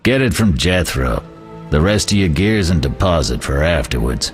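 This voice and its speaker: An actor playing a gruff, scornful jail captain in a video game, giving orders. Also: male voice, a bass voice, very animated, normal speed, faint music behind. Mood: curt. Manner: commanding, scornful. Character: gruff, bossy adult male prison guard captain.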